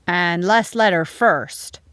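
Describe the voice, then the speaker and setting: A woman's voice: on the low side, slow, fairly bright tone, dry recording, clean studio sound. A woman voicing eager, outspoken young schoolchildren from a storybook, with an exclaiming, childlike delivery.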